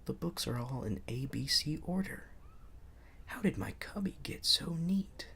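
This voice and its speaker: A man voicing excited, astonished young schoolchildren exclaiming in a storybook. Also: on the high side, a little slow, vocal fry, breathy edge, voice pushed up, full character voice. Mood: amazed.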